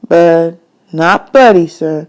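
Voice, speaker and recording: A woman's voice: lowish voice, slow pace. A woman reader plays a young runaway boy from a children's storybook. He is wary and hungry but very polite, speaking in hesitant, deferential little replies. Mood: guarded, polite. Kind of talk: children's novel read aloud.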